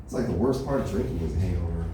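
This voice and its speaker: A man's voice: low-pitched, lively intonation, racing. A young man from the Los Angeles area with an American accent, doing a rambling stand-up set full of "you know" and "right?" at an open mic. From Los Angeles area, USA. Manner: rambling, filler-heavy delivery with constant "you know, right?". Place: small comedy club open mic. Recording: echoey room, from far off, noisy.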